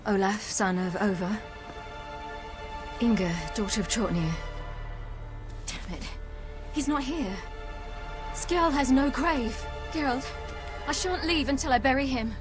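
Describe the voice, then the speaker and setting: A woman's voice: fairly high pitch, measured pace, long pauses, over music, lightly acted. An actress with a British accent playing a determined, proud young warrior woman in a video game, earnest and intense. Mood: troubled, urgent.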